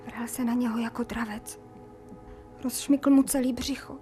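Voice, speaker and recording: A woman acting in a radio play as a squeamish yet fascinated servant, talking in colloquial, often hushed asides. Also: fairly high pitch, steady tone, soft background music, lightly acted. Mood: hushed fascination.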